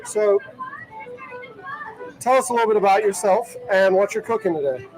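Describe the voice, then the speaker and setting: A man hosts a live show from an outdoor event in an upbeat, conversational way. His voice sits high. Music plays clearly behind him. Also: very animated, moderate pace, darkish tone, low-quality recording. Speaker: host.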